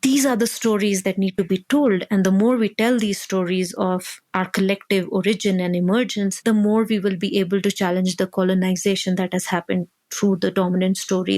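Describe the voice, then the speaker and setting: A woman from India, a researcher and activist, giving thoughtful, measured interview answers that build points step by step. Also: medium pitch, darkish tone, dry recording.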